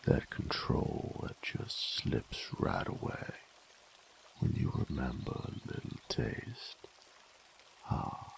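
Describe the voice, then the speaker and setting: A man playing a cocky, possessive, sadistic bandit with a gravelly, teasing voice in an intimate audio roleplay. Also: slow, slight background noise, close to an everyday voice. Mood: taunting, seductive. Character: cocky, possessive, sadistic male bandit, rough-spoken and seductive. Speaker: voice actor.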